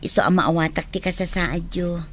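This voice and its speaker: A woman's voice: on the low side, steady tone, normal speed, fairly bright tone. A woman playing a kindly, reassuring young social worker in a radio drama. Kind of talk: radio drama acting in Ilocano.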